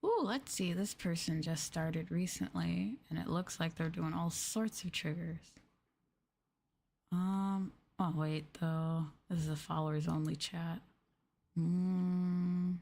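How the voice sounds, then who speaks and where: A woman streamer chatting softly in an ASMR livestream style, gentle and hesitant, sometimes drifting into a whisper. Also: fairly low pitch, slow, creaky. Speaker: streamer, VTuber host.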